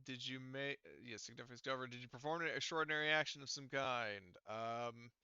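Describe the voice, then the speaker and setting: A man running a tabletop game as game master, casually explaining rules and haltingly thinking aloud. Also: medium pitch, bright, forceful tone, smooth, no echo, highs cut off.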